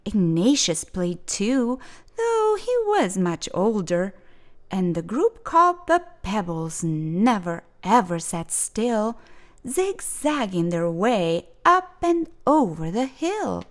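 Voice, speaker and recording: A woman reading a rhyming children's picture book aloud for storytime, gentle and expressive. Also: mid-range voice, slow. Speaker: storytime reader.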